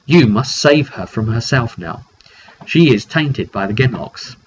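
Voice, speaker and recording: A man's voice: medium pitch, animated, a little slow, close to an everyday voice. A man playing a wounded giant spider, a grave and pleading messenger in a tabletop fantasy roleplay. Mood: grave, weary. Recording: dull lo-fi recording, clear background noise.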